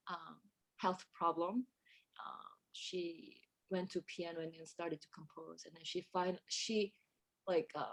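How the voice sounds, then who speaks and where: A woman's voice: fairly low pitch, rough recording. A woman composer from Korea who speaks English, reflecting thoughtfully in an interview and often searching for her words. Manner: reflective, searching for words, many fillers and restarts. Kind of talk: casual conversational interview.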